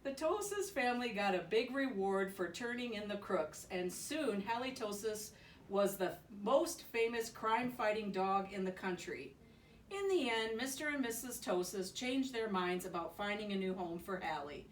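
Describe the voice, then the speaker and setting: Female storybook reader, unhurried and playful, recorded away from the mic with faint noise. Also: medium pitch, reverberant, far from the mic, poor audio. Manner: giggly, expressive reading with playful asides. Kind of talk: bedtime story read aloud.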